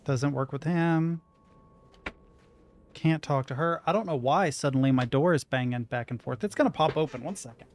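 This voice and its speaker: Brief: young male gamer, slightly high voice, mumbles his way through a puzzle. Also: normal speed, a little hiss or hum. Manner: thinking aloud, muttering to himself. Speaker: gaming streamer. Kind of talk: let's play commentary. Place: room at home with a window and a rattling door.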